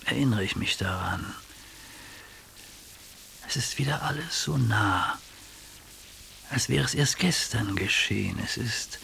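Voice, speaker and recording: A man's voice: medium pitch, vocal fry, a bit bright, full character voice. An actor in a radio drama playing an earnest, ailing older man who reflects on mystical experiences with educated diction. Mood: wistful. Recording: faint tape hiss.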